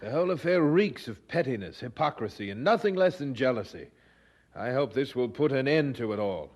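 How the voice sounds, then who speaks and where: A man's voice: mid-range voice, expressive, brisk, a little mellow, natural voice. Casting: male actor for earnest period preacher in audio drama; mid-range voice, declamatory, formal, resolute. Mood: firm, indignant. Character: earnest, resolute 18th-century English preacher.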